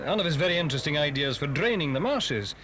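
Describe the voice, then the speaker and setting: A British man playing an inquisitive, smooth and sly gentleman in a children's audio drama. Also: a little high, lively intonation, fast talker. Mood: probing, smooth. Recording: faintly noisy cassette dramatisation.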